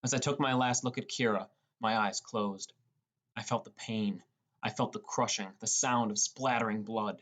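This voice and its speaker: A man's voice: on the low side, fairly even intonation, normal speed, fairly bright tone. A man reading a scripted first-person story as an audiobook narrator.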